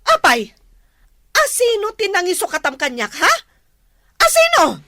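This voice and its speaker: A woman playing a jealous, quarrelsome middle-aged wife in a radio drama, with sharp, indignant retorts. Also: very high voice, huge pitch swings, unhurried, no room sound, studio quality, heavily acted. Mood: angry, shocked.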